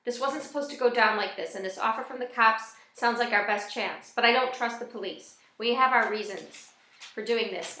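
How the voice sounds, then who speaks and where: Woman as young male robber, bossy, strained, desperate, forceful tone, audiobook, echoey room. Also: on the high side. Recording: roomy, echoing space.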